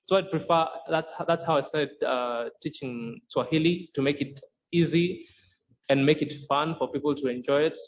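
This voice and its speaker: A man explaining how he teaches Swahili in a podcast interview, earnest and often restarting sentences as he searches for words. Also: a little high. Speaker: Swahili course creator and instructor. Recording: dull lo-fi sound with faint noise.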